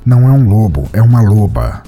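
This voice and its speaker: A man's voice: on the low side, sing-song, brisk, dark tone, breathy edge, faint music behind. A Brazilian man narrating a scripted fantasy story in a dramatic storytelling style. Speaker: narrator of a fiction podcast.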